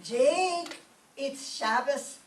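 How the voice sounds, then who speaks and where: Woman playing a fussing high-voiced immigrant mama, echoey stage. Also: animated, drawn-out words, heavily acted. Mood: complaining. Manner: scolding, immigrant phrasing. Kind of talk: stage re-creation of 1930s radio comedy. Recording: echoey distant stage recording, faint noise.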